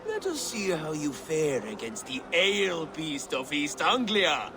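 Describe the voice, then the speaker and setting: A man's voice: on the high side, sing-song, unhurried, crowd noise. An actor playing a boastful, flirtatious Saxon man at a feast in a video game, speaking in a teasing, swaggering way. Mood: teasing challenge. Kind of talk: video game dramatic acting.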